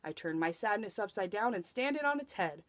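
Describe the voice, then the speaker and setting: A woman's voice: mid-range voice, fairly quick, natural voice. Woman voicing an earnest storybook court jester. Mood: gentle, encouraging. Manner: earnest, expressive, rhyming storybook speech. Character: hopeful, earnest male court jester, troubled but determined.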